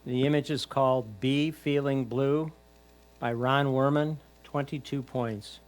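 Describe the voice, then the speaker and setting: A man's voice: mid-range voice, fairly even intonation, a little slow, poor audio. A man announcing image titles, names and scores at a club competition.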